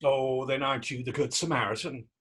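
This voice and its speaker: A man's voice: medium pitch, fairly even intonation, brisk, a bit bright, husky. A man in a readers theater drama playing a defiant young Irish street vagrant, taunting and sarcastic, with an Irish accent. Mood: sly, sarcastic. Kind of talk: readers theater stage acting.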